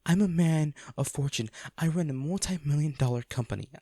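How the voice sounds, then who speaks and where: A man acting an anguished wealthy businessman in an intimate audio drama, speaking haltingly and emotionally to a comatose lover, with a gravelly voice. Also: on the high side, warm tone, no echo. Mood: frustrated, pained. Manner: halting, emotional, with sighs and repeated words.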